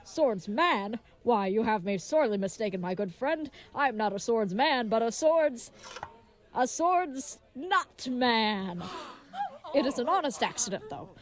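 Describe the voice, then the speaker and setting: A woman's voice: on the high side, animated, unhurried. A woman playing a tall, earnest, dim-witted knight in a comedic fantasy audio drama, rambling with naive, formal courtesy.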